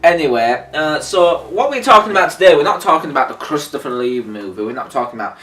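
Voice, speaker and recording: A man's voice: on the high side, animated, quick pace, a bit bright. A man hosting an unscripted, casual review chat as the presenter of a video. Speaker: video host. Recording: roomy echo, distant mic, music.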